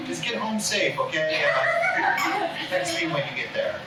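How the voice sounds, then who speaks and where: Man acting concerned young dad, hesitant, distant echoey mic, loud music, comedy table read. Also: sits high, huge pitch swings, unhurried, exaggerated voice. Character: awkward, reluctant young dad, concerned brother.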